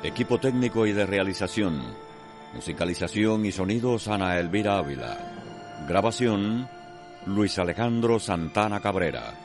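Low-voiced Cuban male radio drama narrator. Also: expressive. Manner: measured, literary, dramatic. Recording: music behind the voice.

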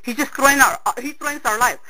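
Middle-aged lady on the phone, super loud and pushy, talks fast, bright sharp voice. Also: sits high. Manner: loud, insistent, repeats herself. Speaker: caller. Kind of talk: heated phone call. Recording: phone call recording.

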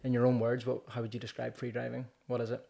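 A man's voice: mid-range voice, monotone, fast talker, vocal fry, mellow. A man hosting a podcast, asking casual questions and dropping in short reactions. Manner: brief encouraging interjections. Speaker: podcast host.

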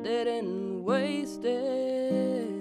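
A young woman singing slow country soul with acoustic guitar on a small stage. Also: on the high side. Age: young adult. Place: small dim curtained stage. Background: acoustic guitar she plays.

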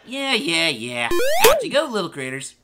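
A woman's voice: fairly low pitch, wildly swooping pitch, normal speed, heavy background noise. A woman voicing a cocky, impatient young male hedgehog hero with a flippant, sarcastic manner in a cartoon dub. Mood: teasing. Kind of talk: animated comic dub.

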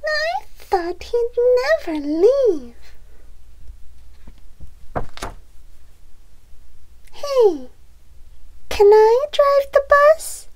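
A woman voicing a pushy, excitable little pigeon in a picture book, wheedling in a childlike, theatrical way. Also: very high-pitched, a little hiss or hum, pitched above the performer's own voice, heavily acted. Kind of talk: picture book read-aloud character.

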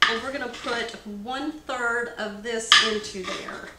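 A woman's voice: fairly high pitch, fairly even intonation, a little slow, heavy background noise. A woman hosting a home cooking demonstration in her kitchen, chatty and upbeat, talking to viewers as y'all. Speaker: cooking show host. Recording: echoey kitchen.

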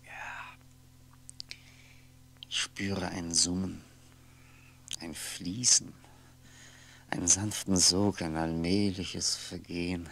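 An actor playing a lonely, world-weary aging man, musing haltingly to himself in a 1960s radio drama monologue, with a gravelly voice. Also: low voice, steady tone, sharp tone, full character voice. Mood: melancholy, searching. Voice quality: gravelly, often sinking into breathy whisper. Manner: halting, brooding, fragmented musing with pauses. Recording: 1960s radio recording, faint noise.

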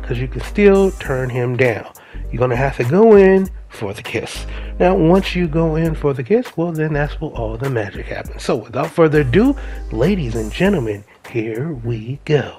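A man's voice: a little high, dark tone. A man doing a video game walkthrough voice-over, addressing his audience like a showman. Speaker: game guide narrator. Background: music.

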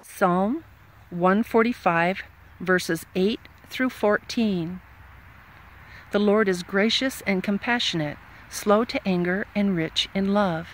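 A woman's voice: medium pitch, takes it slowly. A woman reading scripture aloud as part of a worship gathering. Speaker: scripture reader.

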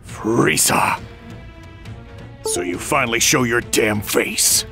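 Reckless alien fighter, gruff, impassioned, very slow delivery, gravelly edge, music behind. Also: male voice, mid-range voice, animated, pushed and bright, exaggerated voice. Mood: furious, defiant. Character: defiant, hot-blooded male alien warrior, rough and reckless. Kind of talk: intense English game dub acting.

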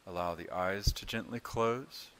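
A man leading a guided meditation as a yoga instructor, speaking in a calm, soothing, unhurried way with long pauses. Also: low voice.

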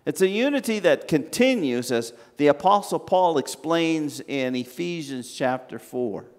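An older man, a pastor, preaching a sermon from a pulpit in a large church sanctuary, with slow, measured delivery and long pauses. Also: on the high side, expressive. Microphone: standing at a pulpit, far camera. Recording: reverberant church hall.

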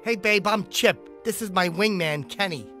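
A man's voice: high-pitched, moderate pace, music in the background, lightly acted. A man voicing a crude, horny, dim and boastful cartoon man, cocky and whiny.

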